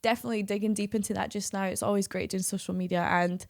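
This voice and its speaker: A woman's voice: medium pitch, fairly even intonation, fast talker, creaky, a little mellow. A woman, a singer, talks as a guest on a casual podcast in an upbeat, encouraging way.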